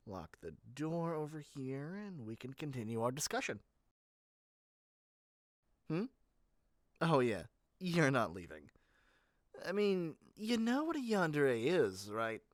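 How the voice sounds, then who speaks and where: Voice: mid-range voice, no echo. A performer playing an eager, shady, frazzled salesperson in a scripted audio roleplay, chatty and sardonic with theatrical rambling asides. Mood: casually menacing. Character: eager, shady, frazzled yandere matchmaking salesperson, cynical and fast-talking. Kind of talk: scripted audio roleplay monologue to one listener.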